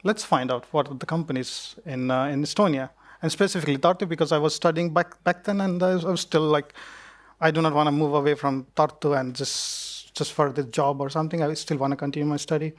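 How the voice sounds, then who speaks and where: Young man, from Nepal, conference guest, laughs often, relaxed, slightly high pitch. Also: fast talker. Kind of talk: live stage conversation. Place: conference stage.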